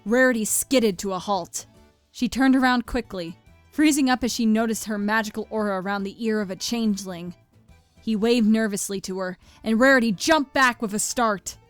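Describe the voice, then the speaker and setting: Higher-pitched woman narrating a story. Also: normal speed, no echo, faint music behind. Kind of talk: scripted story narration of fan fiction.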